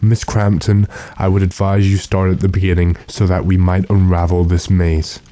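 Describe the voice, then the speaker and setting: An actor playing a composed, authoritative gentleman investigator in a radio drama, measured and deliberate. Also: low-pitched, little pitch movement, natural voice. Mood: calmly authoritative. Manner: measured, deliberate, matter-of-fact. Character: calm, authoritative gentleman investigator addressed as sir. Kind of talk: classic radio drama acting.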